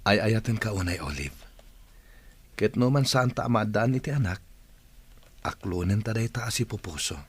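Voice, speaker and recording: An actor playing an affectionate, devoted husband in an Ilocano radio drama, tender, with an Ilocano accent. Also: male voice, fairly low pitch, expressive, moderate pace, pauses often, husky.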